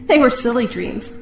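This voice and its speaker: A woman's voice: high voice, creaky, full character voice. An actress playing a guarded, heartbroken female prisoner in an audio drama, aloof and fatalistic, speaking with dramatic emphasis. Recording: roomy echo with music underneath.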